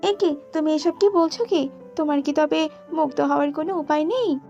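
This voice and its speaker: A woman voicing a bold, feisty young female bird in an animated fairy tale. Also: sits high, normal speed, pitched above the performer's own voice. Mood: alarmed, curious. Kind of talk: animated children's fairy-tale cartoon dialogue. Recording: slightly dull audio with background music.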